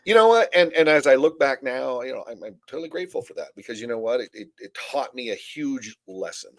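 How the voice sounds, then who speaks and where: A middle-aged man from the United States holds forth on a casual podcast, blunt and opinionated. His voice sits in a mid register. He leaps up and down in pitch as he mimics people and drives his points home. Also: fast talker. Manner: blunt, animated, acts out whiny quotes. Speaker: podcast co-host.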